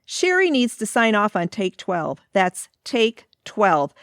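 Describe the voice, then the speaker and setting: A woman's voice: medium pitch, big pitch swings, takes it slowly, a bit bright, no echo, clean studio sound. A woman playing a scornful, exasperated older office colleague in a comedy audio drama, delivering sarcastic insults. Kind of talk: community audio theatre comedy acting.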